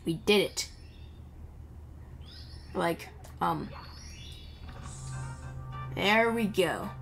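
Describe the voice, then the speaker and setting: A woman's voice: lowish voice, drawn-out words, vocal fry, over music. A woman giving casual, playful, rambling commentary while playing a video game.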